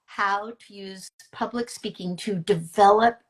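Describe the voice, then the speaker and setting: A woman's voice: medium pitch, fairly even intonation, unhurried, poor audio. Earnest woman telling personal stories in conversation. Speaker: interviewer and storyteller who works with teens. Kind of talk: conversational interview, personal anecdotes.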